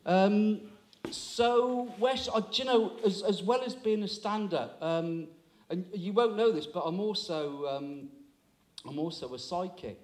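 High-voiced man in his sixties compering. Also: measured pace, a little mellow. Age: 60s. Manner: chatty, teasing, audience banter. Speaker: comedy compere. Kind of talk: stand-up crowd work. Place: small town hall stage, indoors. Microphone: handheld microphone.

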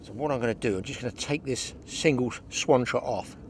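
A man's voice: medium pitch, fairly quick, a little hiss or hum. A man, hosting a fishing show outdoors at a lakeside and chatting instructively to viewers. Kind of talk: casual outdoor fishing commentary.

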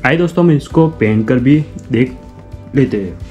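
A man's voice: mid-range voice, lively intonation, moderate pace, a little mellow, dull audio, faint music behind. A young man hosting his own unboxing channel, walking viewers through a product in a repetitive, explanatory way and calling them friends. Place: small room indoors.

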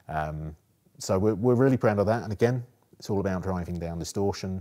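A man's voice: low-pitched, darkish tone. A man giving an enthusiastic, technical explanation of a product as an engineer in an interview. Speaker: acoustic engineer presenting a product.

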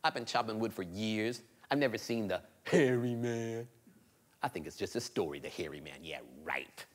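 A man's voice: lowish voice, expressive, normal speed, voice pushed down. A man playing a doubtful, stubborn boy. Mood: dismissive.

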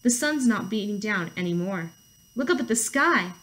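A woman's voice: medium pitch, lively intonation, moderate pace, a bit bright, slight background noise, lightly acted, rough recording. A woman voicing a flustered, bossy mother-hen character in a storybook, exclaiming urgently. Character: flustered, bossy female hen raising the alarm.

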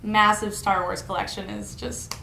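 A woman's voice: medium pitch, normal speed, gravelly. Young woman doing stand-up in an echoey hall. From Indiana, US. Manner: deadpan, self-mocking, laughs at her own jokes. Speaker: stand-up comedian. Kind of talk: stand-up comedy set. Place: college lecture hall stage. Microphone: far camera in the room. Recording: echoey hall, audible room noise.

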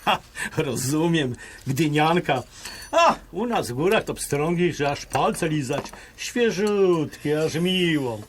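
An actor playing a genial, gallant émigré businessman of about sixty in an audio drama, with a Polish highlander accent and folksy talk sprinkled with English. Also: expressive, slow pace, slight background noise. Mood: jovial, flirtatious. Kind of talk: audio drama acting, conversational.

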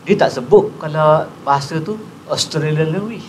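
A man's voice: on the high side, moderate pace, slight background noise. A retired man in his sixties with a Patani Malay accent, earnestly explaining a point in a studio interview. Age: 60s. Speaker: guest. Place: TV studio set, on a couch. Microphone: lapel mic.

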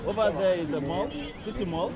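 A man's voice: sits high, brisk. A young man hosting a casual travel vlog outdoors, reacting enthusiastically with frequent exclamations, filmed on a handheld camera. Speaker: vlogger host. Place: crowded outdoor city market street. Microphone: handheld camera at arm's length. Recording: loud street crowd noise.

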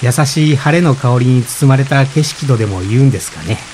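An actor playing a polite, gentle, self-effacing expectant father in reflective radio drama dialogue. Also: medium pitch, lively intonation, fairly quick, slight background noise, natural voice. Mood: gently reflective. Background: rain.

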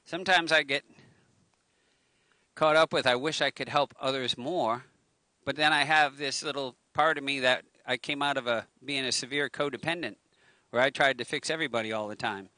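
A man's voice: medium pitch, moderate pace, sharp tone, dry recording. A middle-aged man preaching a casual sermon outdoors on a handheld mic, chatty, with joking self-mocking asides. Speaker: pastor. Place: outdoors by a waterfront on a lawn. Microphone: handheld microphone at a lectern.

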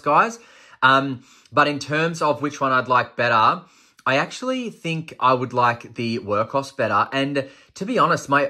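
A man's voice: medium pitch, sharp tone. A man, in his thirties, casually reviewing flashlights and thinking aloud with enthusiasm. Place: workshop desk indoors, then outdoors at night.

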